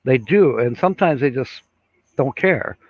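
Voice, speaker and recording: A man's voice: mid-range voice, expressive, unhurried, slightly rough, dry recording. An older man hosting a casual live stream, rambling and chuckling, with many fillers as he reads out viewer comments. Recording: dull lo-fi sound.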